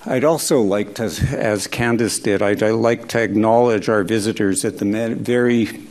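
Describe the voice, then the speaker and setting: A man hosting an event as an executive, giving steady, formal prepared remarks in a conference hall. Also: lowish voice, moderate pace. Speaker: health authority executive, event host.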